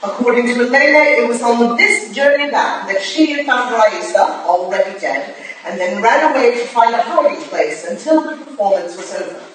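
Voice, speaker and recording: A woman's voice: fairly high pitch, animated, moderate pace, fairly bright tone. A woman playing a commanding, analytical Victorian lady detective in a staged play reading, recorded in an echoey hall. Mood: narrating deductions. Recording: echoey hall from far off, faint noise.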